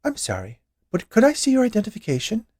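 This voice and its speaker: A man's voice: sits high, huge pitch swings, fast talker, dry recording, clean studio sound, voice pushed up, exaggerated voice. A man voicing a cheerful, chatty large woman who runs a candy shop in a storybook tale. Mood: skeptical. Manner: bright, chatty, eager.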